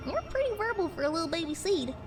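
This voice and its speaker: A woman's voice: very high voice, big pitch swings, fast, far from the mic, over music. A woman playing a skeptical, plainspoken young pony with a folksy Southern US accent in an audio drama. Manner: folksy, blunt, doubtful. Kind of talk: fan audio drama acting.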